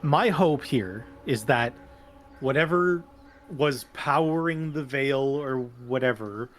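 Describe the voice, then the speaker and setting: A man talks through a tabletop roleplaying scene as a player. He speaks slowly and casually at an average pitch, with a faint background noise. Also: a bit bright.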